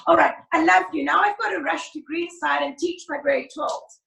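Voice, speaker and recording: A woman maths teacher, teaching a class over a video call in a brisk, encouraging way, her voice croaky. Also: sits high, a bit bright, slight background noise. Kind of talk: online class lesson. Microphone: laptop video call. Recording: roomy far-off video call audio.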